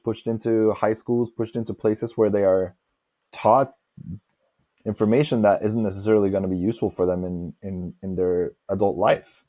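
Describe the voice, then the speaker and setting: A man's voice: fairly low pitch, warm tone. A man who grew up in Norway, talking reflectively and giving his opinions as host of a casual interview.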